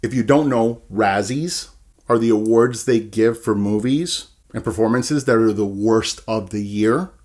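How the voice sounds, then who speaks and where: A man's voice: fairly low pitch, unhurried, darkish tone. Chatty, sarcastic male movie reviewer. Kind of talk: casual ranting film review.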